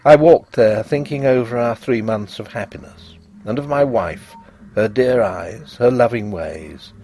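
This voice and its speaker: A man narrating a gothic literary tale in an expressive, measured and dramatic audiobook style. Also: mid-range voice, soft background music.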